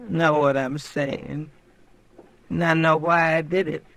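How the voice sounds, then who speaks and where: An actress playing a grief-stricken frontier widow in a radio western, speaking in a halting, bleak, resolute way. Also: female voice, low-pitched, little pitch movement, vocal fry, fairly bright tone, full character voice. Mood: bitter grief. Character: grief-stricken frontier widow confessing to killing her husband. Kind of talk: old radio western acting.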